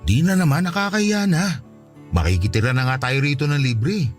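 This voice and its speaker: A man's voice: on the high side, expressive, moderate pace, faint music behind, close to an everyday voice. A man playing an easygoing, sheepish husband in a radio drama, hesitant and giving in to his wife. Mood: sheepish, reluctant. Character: easygoing, sheepish jobless husband and older brother figure.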